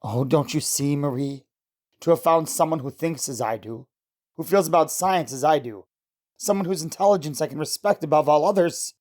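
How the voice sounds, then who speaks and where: A man's voice: a little high, normal speed, a little mellow, natural voice. Radio play lead: man acting a young male scientist, earnest, romantic, pleading. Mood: ardent. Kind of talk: old-time radio drama acting.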